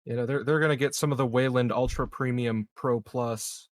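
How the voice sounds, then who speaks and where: A man of medium pitch improvises casually at a roleplaying game. He speaks haltingly, doubling back on words, and is recorded close and dry. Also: fairly even intonation, no room sound. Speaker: tabletop roleplaying player. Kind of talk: casual improvised tabletop banter.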